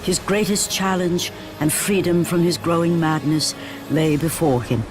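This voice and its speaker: A man narrates a game story gravely and deliberately, like a somber storyteller. His voice sits a little high, and loud game music plays behind him. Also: steady tone.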